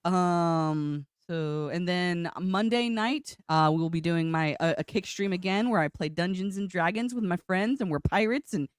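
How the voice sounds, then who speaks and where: A woman in her thirties, sick, with a low voice often taken for a man's, chatting casually on a livestream. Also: normal speed, few pauses, clear, resonant tone, no echo, studio quality. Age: 30s. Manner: chatty, rambling with fillers. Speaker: guest streamer.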